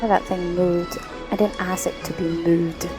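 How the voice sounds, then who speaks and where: A woman livestreaming a story game, reacting casually and excitedly with gushing, giggly fangirl comments. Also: on the low side, normal speed, over music.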